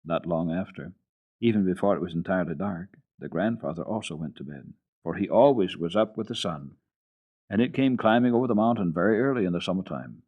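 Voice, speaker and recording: A man reading a children's story for radio in an expressive, deliberate, theatrical way. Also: deep voice, phone-quality sound.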